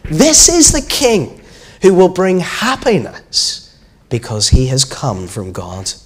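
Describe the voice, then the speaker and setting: A man voicing an excited, jubilant crowd of children and townsfolk in a children's storybook reading. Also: on the low side, unhurried, slightly rough. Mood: jubilant shouting. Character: excited crowd and children cheering their king.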